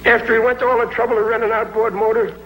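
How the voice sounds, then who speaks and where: A man's voice: very high voice, racing, soft background music. An actor playing a bumbling, good-hearted working-class man in a 1950s sitcom, talking in plain ungrammatical speech. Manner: ungrammatical, excitable everyday talk. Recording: noisy old TV soundtrack.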